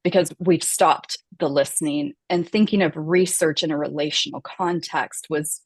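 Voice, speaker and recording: Low, husky woman speaking earnestly. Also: fairly even intonation, normal speed, dry recording. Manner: heartfelt, earnest. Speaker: audience member commenting. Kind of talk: emotional comment in an online Q&A.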